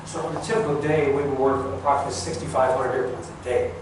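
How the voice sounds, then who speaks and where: A man's voice: mid-range voice, little pitch movement, fast talker. Older man speaking in a very echoey hall. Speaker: guest speaker, former air traffic controller. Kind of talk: informal public talk recounting career. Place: large echoey museum room. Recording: echoey hall, distant mic, clear background noise.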